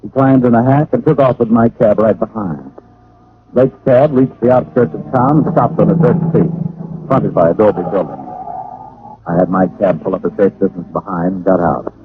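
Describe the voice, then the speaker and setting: An actor playing a witty, hard-boiled American private detective, dryly narrating an old-time radio crime drama. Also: male voice, on the low side, narrow, tinny audio, background noise, close to an everyday voice. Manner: dry, wisecracking. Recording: thin, band-limited vintage radio recording.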